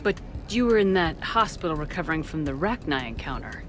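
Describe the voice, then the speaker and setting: A woman's voice: low voice, very animated, a little fast, a bit bright, faint music behind. A woman playing a tough sci-fi military commander in a video game, speaking in a dry, controlled, authoritative way. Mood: dry, questioning.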